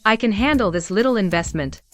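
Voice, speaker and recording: A woman's voice: mid-range voice, lively intonation, fairly quick, faint music behind, close to an everyday voice. A woman playing a composed young doctor and contract wife in a short romance drama, with dry teasing. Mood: brisk, dry.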